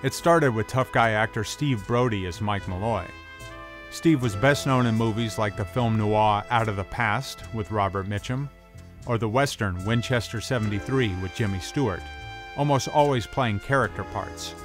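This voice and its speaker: Low-voiced middle-aged man narrating over music. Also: normal speed. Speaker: host, tough guy film expert. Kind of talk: scripted documentary narration.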